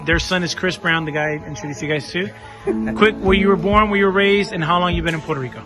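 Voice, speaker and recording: An upbeat man interviews families outdoors in a casual vlog style, his voice sitting a little high for a man. Music plays clearly under the talk. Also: fast. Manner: upbeat, friendly questioning, switches into Spanish.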